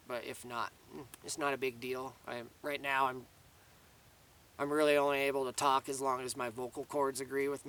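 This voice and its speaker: A man's voice: mid-range voice, a bit bright, recorded from a distance, slight background noise. A man giving a casual, rambling video update outdoors in the mountains, restarting his sentences often. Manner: rambling, many restarts and fillers. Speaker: vlogger. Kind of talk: casual spoken update to camera.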